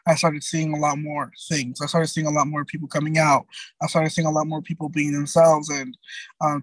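A young man around twenty with a light, somewhat high voice, talking as a guest in a casual interview. He rambles in a friendly way, full of "like" and "um", and often restarts his sentences. The sound is close and dry. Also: no echo, poor audio.

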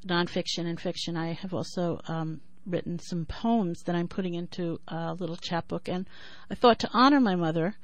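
A woman in her sixties with a New York accent who talks thoughtfully with many pauses and then reads her poem in a measured rhythm. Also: lowish voice, no room sound. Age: 60s. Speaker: poet and writer. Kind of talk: interview and poetry reading.

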